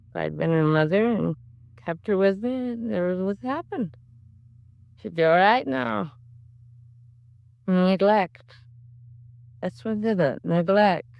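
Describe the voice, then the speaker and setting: Bitter grieving mother: old-time radio noir, defensive, working-class slang, gravel in a resonant voice. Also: lowish voice, no room sound, lo-fi sound. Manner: slangy working-class speech, brooding repetition. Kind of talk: 1950s radio crime drama acting.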